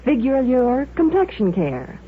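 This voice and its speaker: Fifties ad lady on radio, emphatic, highish voice, bit fuzzy and tinny. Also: wildly swooping pitch, moderate pace. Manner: persuasive, emphatic, enunciated. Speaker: commercial announcer. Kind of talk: scripted 1950s radio advertising. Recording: tinny, slightly rough old radio broadcast.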